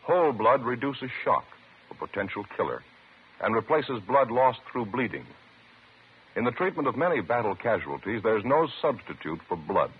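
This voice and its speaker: An American man announcing from a script in a formal, measured and emphatic 1950s radio style. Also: medium pitch, big pitch swings, breathy edge. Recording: muffled old radio recording with hiss.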